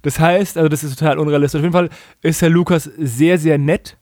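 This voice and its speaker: A man's voice: on the high side, fairly even intonation, quick pace, darkish tone, no echo, clean studio sound. A man in a casual, humorous podcast chat, co-hosting with colloquial, mock-serious exaggeration. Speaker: podcast co-host. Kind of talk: casual humorous chat recapping an audio drama.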